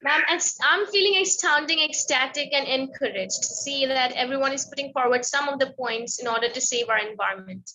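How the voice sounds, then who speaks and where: Young woman from India, high bright voice, earnest and formal, faint background noise. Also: fairly quick, hardly any pauses, poor audio. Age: young adult. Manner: earnest, formal, addresses moderator as ma'am. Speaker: student delegate. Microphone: video call.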